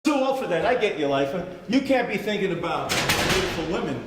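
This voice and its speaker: An actor playing a swaggering, streetwise, boastful inmate and flirt in a live stage play. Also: male voice, high-pitched, sing-song, brisk. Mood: taunting. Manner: cocky, exuberant, teasing. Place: stage of a theater, live performance. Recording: echoey theater, faint noise.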